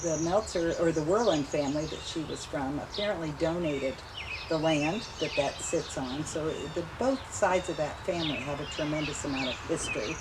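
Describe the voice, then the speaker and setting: A woman in her seventies warmly recounting family memories in a relaxed, chatty interview. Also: low-pitched, normal speed. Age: 70s. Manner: chatty, reminiscing, laughs easily. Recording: outdoor noise with insects and birds. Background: insects and birds.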